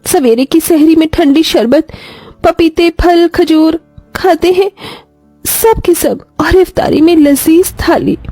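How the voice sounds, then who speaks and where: A woman's voice: high-pitched, mellow, dry recording, pitched above the performer's own voice. A woman voicing a young, naive and wistful teenage sister-in-law with an eager, childlike, pleading way of talking in an audio story.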